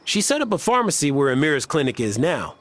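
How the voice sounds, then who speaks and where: A man's voice: on the high side, very animated, fast, fairly bright tone. A man playing a proud, loyal villager telling family stories emphatically in a cozy video game. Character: proud, loyal son of island pharmacist.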